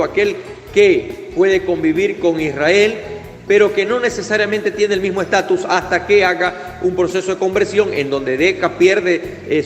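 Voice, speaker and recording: A man preaching a fervent, emphatic sermon, with Hebrew religious terms in his speech. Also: high voice. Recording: echoey hall with faint music.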